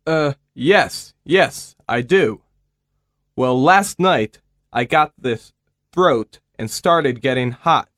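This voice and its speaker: A man's voice: medium pitch, lively intonation, fairly bright tone, dry recording, clean studio sound. A man voicing a patient with a cold who speaks hesitantly in a scripted listening dialogue. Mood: unwell. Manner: slow, hesitant speech. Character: male patient with a cold, unwell.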